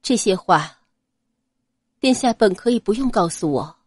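Young noblewoman, acted by a woman, composed, fond, soft-spoken, audiobook storytelling, dry sound. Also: medium pitch, animated, a little slow, breathy edge, no room sound. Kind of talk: dramatized audiobook character acting.

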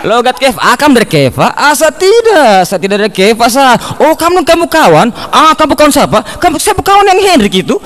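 A young man with an Eastern Indonesian accent doing an animated, talkative comic monologue, mimicking ways of speaking, close on the microphone. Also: extremely high pitch, a little fast, few pauses, background noise. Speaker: comic performer. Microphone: large condenser microphone on a stand, close.

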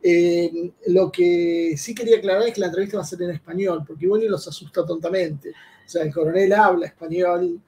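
A man co-hosting an interview, explaining the topics to viewers with many pauses and fillers. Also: sits high, expressive, vocal fry, mellow, distant mic, a little hiss or hum. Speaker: co-host interviewer. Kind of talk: interview introduction. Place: home room.

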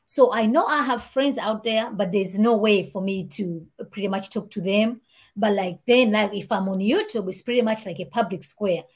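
A woman with a Malawian accent talks through her story as an interview guest, lively and gesturing as she speaks. She sits at home and comes through a video call headset. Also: medium pitch, moderate pace. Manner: animated, talks with her hands. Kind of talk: casual interview chat. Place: home room with shelves and warm lamp. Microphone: video call, earbuds.

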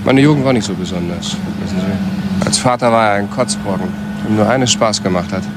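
A man's voice: on the low side, a little fast, heavy background noise, natural voice. A male actor playing a bitter, sardonic adult son in a German crime radio play, mocking and insinuating. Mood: bitter, taunting. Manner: mocking, insinuating, with rhetorical questions.

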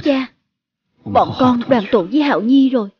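A woman reading a scripted voice-over dub for an animated series. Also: high-pitched, moderate pace, muffled recording, slight background noise.

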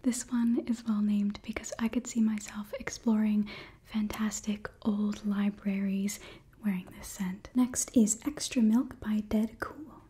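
Very breathy young woman whispering. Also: medium pitch, flat delivery, a little slow, mellow. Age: young adult. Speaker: ASMR host reading a sponsor ad. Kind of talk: ASMR whispered product review. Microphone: close microphone.

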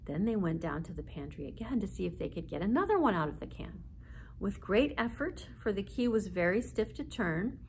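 A woman's voice: mid-range voice, rough recording. A woman in her forties reading a children's story aloud as narrator, seated indoors a short way from the camera. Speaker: library story reader. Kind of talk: children's book read-aloud. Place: small indoor room, seated. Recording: faint background noise.

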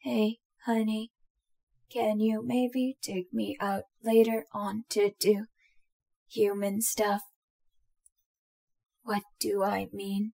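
A woman playing an affectionate, shy young female companion droid in a roleplay audio drama, speaking softly and sweetly to the listener. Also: mid-range voice, level tone, slow, gravelly, pauses often. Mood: affectionate, eager.

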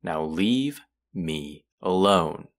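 Guy playing a rude cocky twin brother for an audio roleplay, deep n slow, teasing and mocking drawl. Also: lively intonation, full character voice. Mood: mocking, scornful. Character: condescending, smug, mocking young man, rude twin brother. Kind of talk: roleplay audio drama, intimate character acting.

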